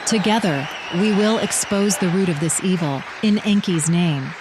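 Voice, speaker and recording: A woman's voice: on the low side, a crowd in the background, natural voice. Woman acting a fervent priestly leader in echoing hall. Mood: resolute. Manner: solemn, declamatory, deliberate oratory. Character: fervent, devout female religious leader rallying her people. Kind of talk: first-person audio drama narration with speeches and prayer. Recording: echoey hall reverb, ambient noise behind.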